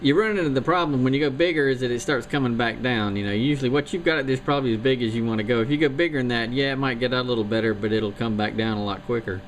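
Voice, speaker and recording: A man chatting easily on amateur radio, talking through gear and antennas in a relaxed, technical way. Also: mid-range voice, racing, hardly any pauses. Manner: easygoing, technical talk. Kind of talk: casual radio chat. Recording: hissy shortwave radio signal.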